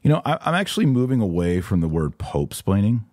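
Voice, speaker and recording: A man with a very low, dark voice that has a husky grain to it. He talks as a commentary host, opinionated and emphatic, repeating key phrases as he responds to comments. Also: big pitch swings, quick pace. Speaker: podcast host and religious commentator. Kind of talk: live commentary answering viewer comments.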